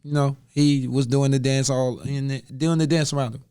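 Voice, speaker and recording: A man in his late twenties with a California Bay Area accent, recorded close and dry. His pitch sits in the middle and he talks as a guest in casual, slangy conversation. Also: steady tone, moderate pace, mellow, clean tone, dry recording. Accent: American, California Bay Area. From Richmond, California. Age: 20s. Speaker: podcast guest.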